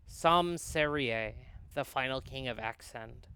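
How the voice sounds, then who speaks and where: A man reading a visual novel aloud as a learned, cautious scholar, formal and measured as he explains and narrates. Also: medium pitch, bright, forceful tone, a little hiss or hum. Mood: explaining.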